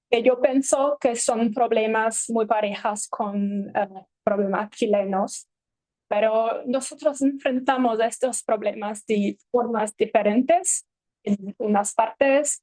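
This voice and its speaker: A Brazilian woman, a lawyer and native Portuguese speaker, giving a halting, measured academic talk at a panel table microphone. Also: on the high side, steady tone, warm tone, no echo. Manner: measured, halting, many pauses. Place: university event hall.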